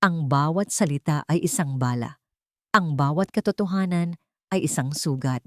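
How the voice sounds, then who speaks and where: Female storyteller, lower register, dry recording, dramatic scripted narration. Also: dry recording.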